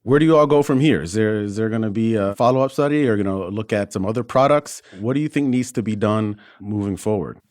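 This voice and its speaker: Man, podcast host, slightly deep voice, conversational interviewing, dry close sound. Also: quick pace, few pauses, a little mellow, dry recording.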